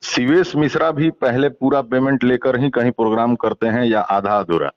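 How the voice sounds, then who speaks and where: A man's voice: medium pitch, moderate pace, no room sound, lo-fi sound. A man working as a news interviewer, putting questions politely and hesitantly. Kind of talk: phone interview with frequent acknowledgements.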